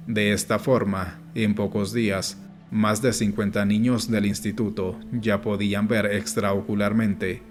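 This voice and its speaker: Guy reading an audiobook, pretty flat delivery, measured and explainy, music going behind him. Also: lowish voice. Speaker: audiobook narrator. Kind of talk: scripted audiobook narration. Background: background music.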